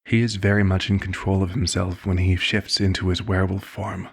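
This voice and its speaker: A man playing a courteous elder vampire doctor in an ASMR roleplay, speaking formally and with measured, concerned authority, in a gravelly, husky voice. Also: sits low, flat delivery, a little hiss or hum. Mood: measured, clinical concern. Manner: formal, measured, polite wording. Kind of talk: ASMR roleplay, scripted one-sided dialogue.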